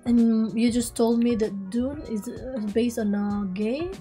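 A woman in her thirties, hoarse from losing her voice, chatting casually and exclaiming as she co-hosts a film reaction from a small room. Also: fairly high pitch, unhurried, loud music behind the voice. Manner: animated, exclaims often. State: hoarse, partly lost voice. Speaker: reaction channel co-host. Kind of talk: casual chat. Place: small bedroom studio, indoors.

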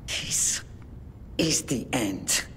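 A woman's voice: rumbling low, lively intonation, very slow, fairly bright tone, in a whisper, full character voice. An actress playing a worried, cynical older woman, blunt and bitter, in a video game. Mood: pleading.

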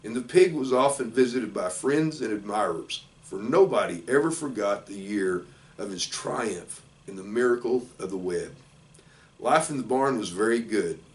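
An older man, around sixty, reading a children's storybook aloud in plain, measured short phrases. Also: on the low side. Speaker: football coach reading a children's book aloud. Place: small indoor office. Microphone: camera a few feet away. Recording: distant microphone in a room.

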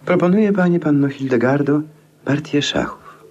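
A man's voice: fairly high pitch, animated, normal speed, a little mellow, muffled recording. An actor playing a grandiose, moonstruck eccentric man with theatrical declamation in a 1950s radio play. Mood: courtly.

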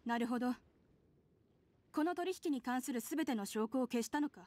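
A woman's voice: on the high side, expressive, normal speed, a little mellow, slight background noise, lightly acted. A woman voicing an earnest young female junior official in an anime game, respectful and a little hesitant toward her senior. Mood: eager, respectful. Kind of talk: Japanese anime game voice acting.

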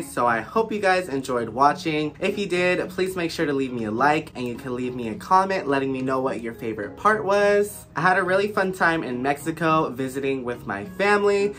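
A man's voice: fairly high pitch, a bit bright. A young man in his twenties, congested and nasal from a cold, giving a chatty, upbeat tour of garden plants. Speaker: plant vlogger. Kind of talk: casual plant tour voice-over. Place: indoor room with plants.

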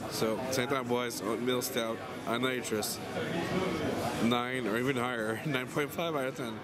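A man's voice: mid-range voice, steady tone, a little slow, over a noisy crowd. A man in his thirties vlogging casually at night, enthusiastically tasting and rating a beer. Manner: enthusiastic, rating beers out of ten. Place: outdoors on a lit old town street at night. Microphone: camera held at arm's length.

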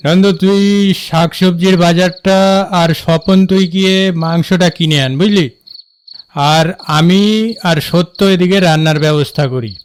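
A man voicing a bossy young villager in an animated moral story. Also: a little high, moderate pace, slight background noise, close to an everyday voice. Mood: organising.

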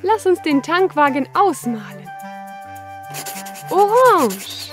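High-voiced woman narrating for children, music behind. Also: big pitch swings, slow, fairly bright tone. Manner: instructive, asking questions and answering them. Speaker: narrator of a children's educational cartoon. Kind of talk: scripted narration for young children. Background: background music.

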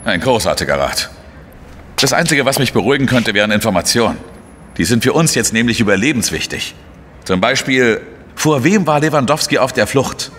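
An actor playing a weary, irritable investigator who narrates a German crime radio drama in a blunt, sardonic way. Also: male voice, medium pitch, animated, brisk, fairly bright tone, a little hiss or hum, close to an everyday voice. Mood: agitated, fed up.

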